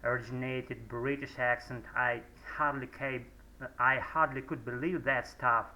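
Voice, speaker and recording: A Russian-speaking man talking in English at home, his voice very bright, sharp and tense. He rambles in a middle pitch, stopping often on fillers and restarting his sentences. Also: steady tone, measured pace, poor audio. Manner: hesitant, many fillers and restarts. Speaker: English learner vlogger. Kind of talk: rambling monologue practicing spoken English. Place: home room, indoors.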